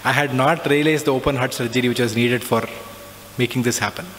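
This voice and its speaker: A middle-aged man tells a reflective personal story, often pausing with "uh" and "so". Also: medium pitch, steady tone, fairly bright tone. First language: not Hindi. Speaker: alumnus giving a talk. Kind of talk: personal spiritual talk. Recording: long reverb, faint background noise.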